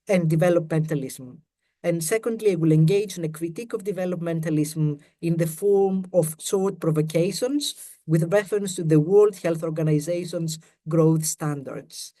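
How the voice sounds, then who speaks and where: Greek-accented man giving a measured lecture. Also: on the high side, mellow. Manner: careful, measured reading of prepared text with quotes. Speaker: academic panellist. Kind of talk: academic webinar presentation.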